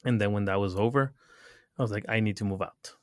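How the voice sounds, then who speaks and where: Middle-aged man, interview guest; easy storyteller, lowish voice. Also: fast talker, dry recording, clean studio sound. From Ecuador. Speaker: guest, retail consultant. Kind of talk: casual interview chat. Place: recording studio.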